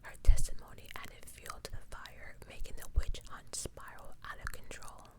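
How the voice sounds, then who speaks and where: ASMR host, pure soft whisper throughout, true-crime narration broken up by casual slangy commentary. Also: moderate pace. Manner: casual, slangy asides between read passages.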